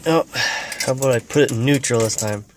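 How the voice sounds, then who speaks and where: Hoarse man talking over an idling engine. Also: mid-range voice. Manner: halting, many fillers and restarts. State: hoarse from a cold. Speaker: vlogger. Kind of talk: casual chat. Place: garage, then outdoors in a yard. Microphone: handheld action camera. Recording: loud engine noise. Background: idling van engine.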